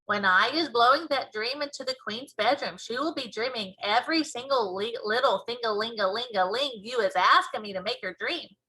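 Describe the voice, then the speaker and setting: A woman's voice: fairly high pitch, moderate pace, few pauses, pushed and bright, rough recording. A woman voicing a kindly, quirky male giant from a storybook, with folksy mangled grammar and an emphatic delivery. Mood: proud, emphatic. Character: big friendly giant, male, earnest, kindly, quirky speaker.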